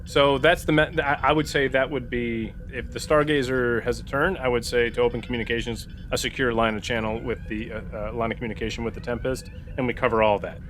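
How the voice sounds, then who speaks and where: A man's voice: mid-range voice, fairly even intonation, a little fast, few pauses, a bit bright, a little hiss or hum. A man in casual game-table discussion, talking as a player and tentatively thinking aloud.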